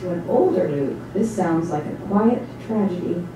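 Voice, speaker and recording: A woman playing the storyteller in a staged dramatic reading, narrating in a measured, literary way. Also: fairly low pitch, lively intonation, natural voice. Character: observant storyteller narrating a bar scene. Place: small stage before a seated audience. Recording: very echoey hall, distant mic, faint noise.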